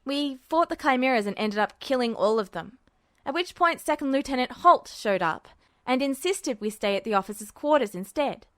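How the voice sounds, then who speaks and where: A woman voicing a brash, irritable young male alchemist in a podfic reading, defensive and quick. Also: sits high, animated, a bit bright, no echo, studio quality. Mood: huffy.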